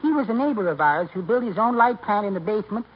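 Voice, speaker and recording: A woman's voice: mid-range voice, expressive, quick pace, natural voice. A woman acting a chatty, scatterbrained comic character in the style of 1940s radio comedy. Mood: matter-of-fact. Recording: muffled, noisy old radio broadcast.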